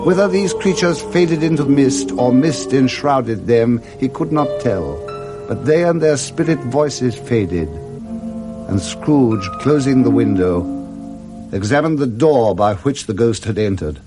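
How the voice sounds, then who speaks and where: A male narrator tells a literary tale in the manner of 1960s radio drama. His delivery is measured and theatrical, at a medium pitch, with music clearly behind him. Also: animated.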